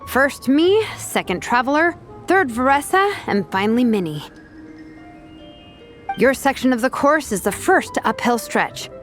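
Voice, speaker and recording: A woman voicing a practical, no-nonsense young team captain in a video game, briskly giving instructions. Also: high-pitched, animated, soft background music. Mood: brisk, commanding. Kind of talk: scripted game dialogue.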